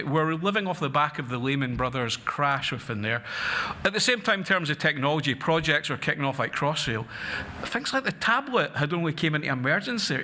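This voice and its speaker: A man's voice: on the high side, pushed and bright, slight background noise. A middle-aged man with a Scottish accent giving an earnest conference talk from a lectern, with frequent fillers and restarts. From Scotland. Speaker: conference speaker. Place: conference hall. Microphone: lectern mic.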